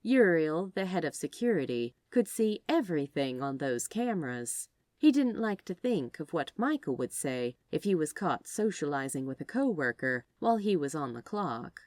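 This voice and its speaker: A woman reading a story aloud as a narrator, in an expressive, scripted storytelling style. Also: low voice, normal speed, dry recording, clean studio sound. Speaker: audiobook narrator of fan fiction.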